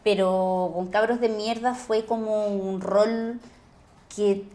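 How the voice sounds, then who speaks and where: A woman's voice: mid-range voice, steady tone, slow, mellow. A Chilean woman, an actress being interviewed, reflecting conversationally and often searching for her words.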